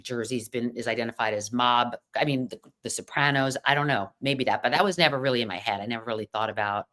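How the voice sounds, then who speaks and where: Middle-aged woman, deep low voice, chatty guest who talks in loose restarts. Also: quick pace, fairly bright tone. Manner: chatty, lots of fillers and restarts. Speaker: podcast guest. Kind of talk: casual chat.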